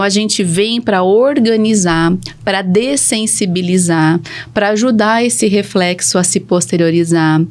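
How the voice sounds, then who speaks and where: Woman, Brazilian accent, medium pitch, didactic, conversational, question-and-answer explaining. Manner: didactic, poses questions then answers them. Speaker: speech therapist, podcast guest.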